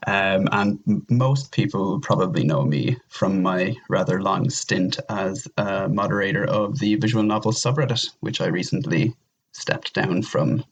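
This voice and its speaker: A man's voice: deep voice, unhurried, dull audio, slight background noise. A young Irish man speaking casually as a podcast guest, rambling and repeating his words as he goes. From Ireland. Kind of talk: casual interview chat.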